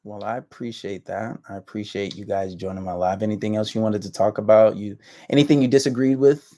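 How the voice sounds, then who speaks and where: A man's voice: lowish voice, fairly even intonation, fairly quick, dark tone. A man in his thirties hosting a casual livestream chat, talking in a laid-back, drawling way that trails off and restarts. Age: 30s. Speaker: livestream host.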